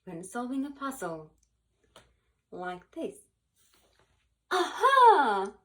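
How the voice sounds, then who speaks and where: A woman reading a children's picture book aloud in an animated, expressive way, with dramatic pauses and emphasis. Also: fairly high pitch. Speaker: volunteer children's book reader.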